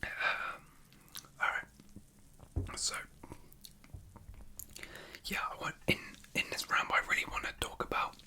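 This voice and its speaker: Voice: slow pace, long pauses. Whispering British ASMR host. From United Kingdom. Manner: hesitant, unscripted rambling. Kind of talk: whispered ASMR, casual chat.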